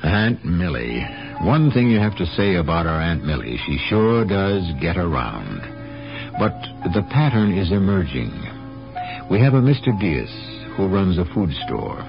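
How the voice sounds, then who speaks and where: An actor as the wry, ominous host of a radio mystery, narrating in a deliberate, theatrical way. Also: male voice, low voice, very animated, over music, exaggerated voice. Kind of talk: dramatic scripted radio narration. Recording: dull old radio broadcast.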